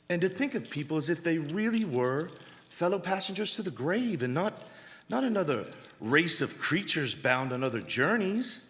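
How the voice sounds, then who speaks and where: An actor playing a cheerful, earnest, warm-hearted nephew in live radio theater, pleading and expressive. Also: male voice, fairly high pitch. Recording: roomy hall with long reverb.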